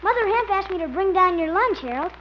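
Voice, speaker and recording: Radio sitcom kid: pesky, knowing young boy, piping high and animated. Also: fast talker, slight background noise. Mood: cheeky, innocent. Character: cheeky, needling young boy who interrupts. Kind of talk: 1950s radio comedy acting. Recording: muffled old radio recording, studio audience.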